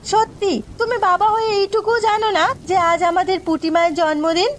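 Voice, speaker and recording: A woman voicing a grasping village wife and doting mother in a cartoon story, chatty and sharp-tongued. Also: very high voice, a little fast, slight background noise. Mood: spiteful. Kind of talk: Bengali cartoon story voice acting.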